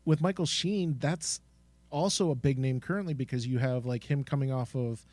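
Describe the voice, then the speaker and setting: A man's voice: mid-range voice, moderate pace, a little mellow, no room sound. A man co-hosting a fan podcast, chatting casually in an enthusiastic, agreeable manner. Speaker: podcast co-host.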